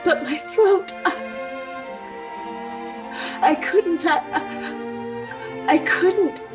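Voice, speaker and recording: An actress playing an emotional, idealistic woman in a 1930s radio play, halting and tremulous. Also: very high voice. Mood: tearful, overwhelmed. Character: emotional, idealistic American woman addressing refugee children.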